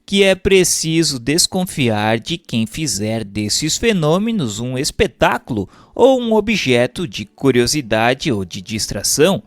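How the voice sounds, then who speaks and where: A man's voice: mid-range voice, no echo. A man with a Brazilian accent reading a book aloud as formal, didactic scripted narration, enunciating carefully. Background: music.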